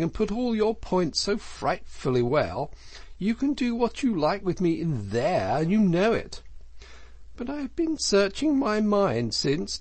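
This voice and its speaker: A man voicing a stubborn, conceited, excitable toad gentleman from a storybook, with an emphatic, gushing manner. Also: high voice, no echo, pitched above the performer's own voice, heavily acted. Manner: emphatic, gushing, self-satisfied. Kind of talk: storybook character voice.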